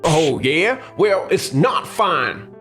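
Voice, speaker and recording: An irate tenant, acted out by a performer in a manga dub, with a mid-pitched voice that swings widely as they complain sarcastically. Music plays behind the confrontational, salty delivery. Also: very animated, slow, a bit bright. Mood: angry. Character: angry, foul-mouthed tenant complaining of flooding. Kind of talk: scripted manga dub acting.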